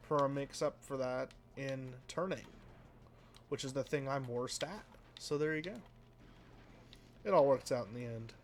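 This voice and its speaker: A man talks over his racing gameplay in a loose, rambling way, poking fun at himself and letting sentences trail off. His voice sits at a middle pitch and comes out flat and a little nasal. Faint background noise sits under the recording. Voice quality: sounds flat and a bit nasal, by his own account. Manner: rambling, self-deprecating, drifts into mumbles. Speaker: let's play host. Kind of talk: casual gameplay commentary.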